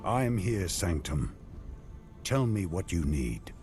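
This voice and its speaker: An actor playing a resolute, defiant knight in a fantasy video game, speaking in a terse, grave, determined way. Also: male voice, deep voice, big pitch swings, takes it slowly, over music, exaggerated voice.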